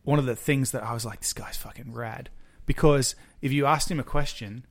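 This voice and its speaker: A man's voice: mid-range voice, fairly even intonation, brisk, creaky. A man chatting casually on a podcast, often restarting sentences and trailing off as he works through a thought. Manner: hesitant, restarts and trails off while thinking aloud. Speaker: podcast co-host, musician. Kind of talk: casual chat.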